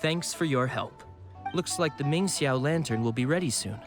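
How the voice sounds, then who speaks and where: An actor playing a dutiful city guard in a video game, steady and reassuring, with a clipped, formal way of speaking. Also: male voice, mid-range voice, moderate pace, close to an everyday voice. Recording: music bed behind voice.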